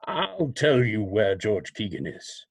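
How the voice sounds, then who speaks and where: A man playing a mysterious, coolly accusing vigilante with measured, formal, sardonic delivery in old-time radio drama style. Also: on the low side, sing-song, creaky, slightly rough, dry recording, full character voice.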